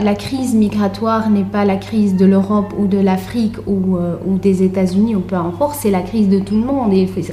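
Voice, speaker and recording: Mellow-voiced young woman, halting delivery. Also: medium pitch. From Tunisia. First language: Arabic. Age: young adult. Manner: hesitant, halting delivery with repeated words. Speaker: interview guest, author and translator. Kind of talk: conversational interview answers. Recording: roomy echo, faint background noise.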